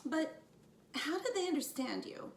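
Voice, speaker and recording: A woman's voice: sits high, lively intonation, measured pace, gravelly, pitched above the performer's own voice, exaggerated voice. A woman reading a storybook, voicing an eager, curious young boy with a questioning delivery. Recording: roomy, distant mic, faint noise.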